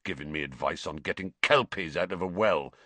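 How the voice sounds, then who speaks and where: Man doing a gruff, growling, big-hearted gamekeeper. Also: low voice, brisk, pushed and bright, dry recording. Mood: disgruntled.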